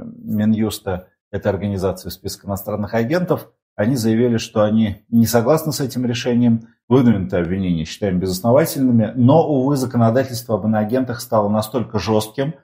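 A man's voice: on the low side, dark tone. A man with a Moscow accent co-hosting a talk show, mixing news commentary with banter and reading from the chat. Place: studio.

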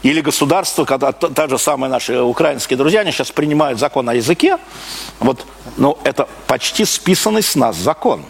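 A man's voice: mid-range voice, expressive, fairly quick. A man from Russia giving a live public lecture, speaking emphatically with repeated phrases, rhetorical questions and broken-off sentences. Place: live talk before an audience. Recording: clearly noisy live recording.

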